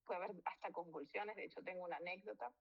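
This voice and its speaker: Woman doctor from venezuela teaching a med class, gravelly voice, kinda muffled lo-fi sound, lots of eh's and fixing herself. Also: fairly low pitch, quick pace. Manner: informal, with fillers and self-corrections. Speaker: physician lecturer. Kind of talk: medical lecture.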